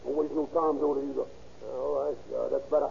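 A domineering escaped convict in a vintage radio crime play. The voice is gravelly and a little high, slangy and commanding. The recording is muffled with some hiss. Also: male voice, flat delivery, fast talker, mellow. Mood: threatening. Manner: commanding, slangy exaggerated dialect speech. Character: menacing escaped male convict, gun in hand, domineering. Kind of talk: 1930s radio crime drama acting.

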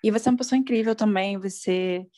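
A woman's voice: mid-range voice, quick pace, warm tone. A woman artist chatting as a podcast guest, friendly and grateful. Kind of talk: casual chat.

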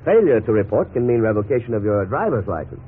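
Measured attorney, tinny vintage radio. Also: male voice, on the low side, sing-song. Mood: calm, firm. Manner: measured, formal, explanatory. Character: calm, authoritative attorney advising his clients. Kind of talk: 1950s radio drama acting. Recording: noisy, tinny 1950s radio recording.

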